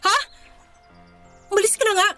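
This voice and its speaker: A woman's voice: very high voice, steady tone, normal speed, bright, forceful tone, soft background music. A woman acting a poor but proud young working woman in a radio drama, speaking with earnest, wounded dignity. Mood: indignant.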